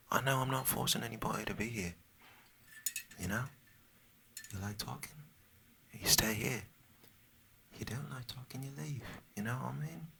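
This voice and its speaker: An American man putting on a Cockney British accent for a rambling, soft-spoken, partly whispered ASMR chat that repeats and trails off. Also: medium pitch, vocal fry, slight background noise. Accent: put-on Cockney British. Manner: meandering, repetitive, often trailing off. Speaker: ASMR video creator.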